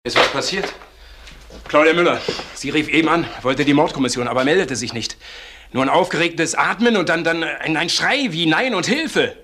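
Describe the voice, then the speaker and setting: An actor playing a businesslike police assistant reporting in a German crime radio play. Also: male voice, on the high side, animated, moderate pace, pushed and bright. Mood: businesslike, urgent.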